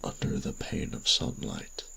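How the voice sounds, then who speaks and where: A man playing a cold, stern vampire church enforcer in an audio drama, speaking in a grave, measured, formal way with a deep rasp. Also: bright, forceful tone, poor audio. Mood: solemn vow. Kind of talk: audio roleplay drama acting.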